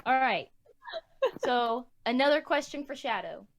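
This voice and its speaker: A woman with a fairly high voice hosts in a casual way. She puts questions to her guests in informal, chatty speech. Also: animated, measured pace, slight background noise. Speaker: host. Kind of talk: casual chat, asking questions.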